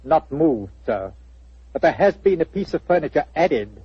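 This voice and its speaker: A formal, sardonic butler, deferential on the surface and deadpan underneath. His voice is husky and rises and falls freely. It comes through a dull radio recording. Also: a little high, moderate pace, no room sound, heavily acted. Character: dry, sardonic manservant butler. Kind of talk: 1940s radio acting.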